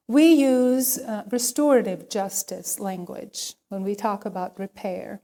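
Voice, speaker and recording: A woman minister speaking in a measured, deliberate way as she leads a worship service and reads liturgy. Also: fairly high pitch, warm tone. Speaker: minister leading a church service. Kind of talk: sermon and liturgical reading.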